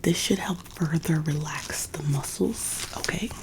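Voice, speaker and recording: Lady whispering low and breathy, calming massage therapist asmr, right up on the mic. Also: measured pace. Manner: soothing, reassuring. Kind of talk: ASMR roleplay. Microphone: close studio condenser microphone.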